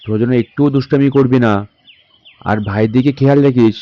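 A man playing a village father who gives brisk, mildly stern instructions in a children's doll story. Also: medium pitch, fairly even intonation, dry recording, lo-fi sound, close to an everyday voice. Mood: instructing.

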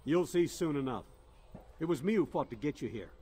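A man's voice: a little high, expressive, fairly quick, warm tone, natural voice. Man playing a blunt, stern military commander. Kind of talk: video game dialogue.

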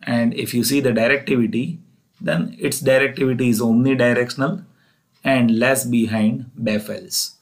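A man with an Indian accent narrates a technical lesson in a medium-pitched voice. He explains step by step, repeating key points in a plainly didactic way, as a lecturer would over slides. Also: fairly even intonation, moderate pace. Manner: didactic, repetitive step-by-step phrasing, often opening with "so". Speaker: engineering lecturer.

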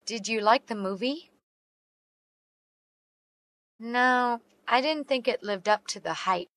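Woman reading practice lines super slow, a bit high, dry close mic. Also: a bit bright, no room sound. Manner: slow and carefully enunciated. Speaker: English teacher reading dialogue. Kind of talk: slow read-aloud English practice phrases.

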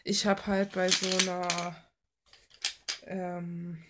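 A woman's voice: on the low side, flat delivery, extremely slow. A young woman rambling casually and thinking aloud in an offhand way, as an art vlogger chatting while she works at a desk at home. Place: small cluttered room at home. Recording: noisy home recording with clicks.

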